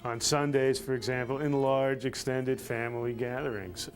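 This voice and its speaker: A man's voice: medium pitch, fairly even intonation, moderate pace, soft background music. An American man speaking reflectively and emphatically in a documentary interview, building his point in repeated phrases. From United States.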